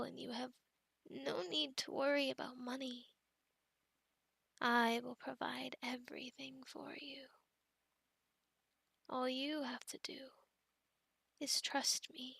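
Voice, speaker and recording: A woman playing a possessive, protective female werewolf in an ASMR roleplay, speaking in a breathy, often whispered voice with formal, soothing, deliberate phrasing. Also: high voice, a bit bright, no room sound, full character voice.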